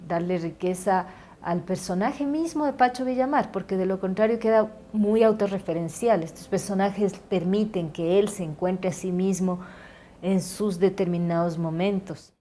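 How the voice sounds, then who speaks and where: A woman's voice: mid-range voice, moderate pace, warm tone, a little hiss or hum. A woman giving reflective commentary as a drama creator, searching for her words. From Ecuador. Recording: music behind the voice.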